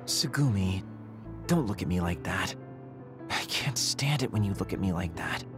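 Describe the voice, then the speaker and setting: An actor voicing a laid-back but secretly determined young soldier in a video game, casual, candid and dramatic. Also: male voice, deep voice, expressive, moderate pace, music in the background. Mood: wry, candid. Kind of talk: video game cutscene voice acting.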